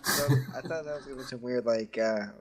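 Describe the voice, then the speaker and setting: A man's voice: mid-range voice, quick pace, a loud crowd. A man talking casually as one of a group of listeners in a voice chat.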